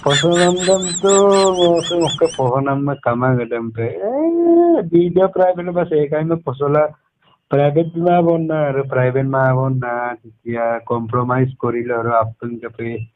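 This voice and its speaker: A man's voice: mid-range voice, measured pace, darkish tone, no room sound, low-quality recording. A man having a casual phone conversation, dropping in English words.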